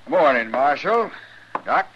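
A man's voice: mid-range voice, big pitch swings, slow. A man playing a surly, callous rancher in an old radio western, with an American accent and an insolent, drawling manner. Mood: surly, sly. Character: surly, grudging male rancher, callous troublemaker. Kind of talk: 1950s radio western acting. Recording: dull, hissy old radio recording.

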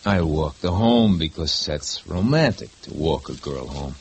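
An actor playing a mocking, domineering husband in a 1950s radio drama, talking with a sarcastic edge. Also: male voice, rumbling low, lively intonation, unhurried, gravelly, slightly rough, full character voice. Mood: sneering. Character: sneering, bullying husband and witness.

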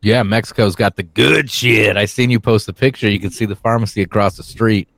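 A man with a low voice and a dry, close sound talks as a panelist on an online call. He is casual and blunt, swearing freely. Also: brisk, fairly bright tone, no echo. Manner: blunt, profane. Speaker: talk show panelist. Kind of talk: casual chat. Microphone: remote internet call.

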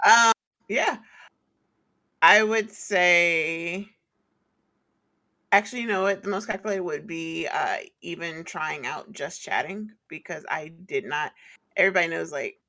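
A chatty woman with a husky, gravelly rasp and a lower voice. She talks on and on in a loose, easygoing podcast style. Her tone is bright and forceful, and her pitch rises when she gets excited. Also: a little slow, long pauses. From partly the US Midwest and Atlanta. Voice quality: slight rasp that comes and goes. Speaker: podcast co-host and streamer. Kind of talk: casual podcast chat.

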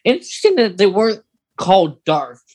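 A man's voice: sits high, wildly swooping pitch, moderate pace, a little mellow, breathy edge. A man co-hosting a podcast, thinking aloud in a casual, tentative fan discussion. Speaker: podcast co-host.

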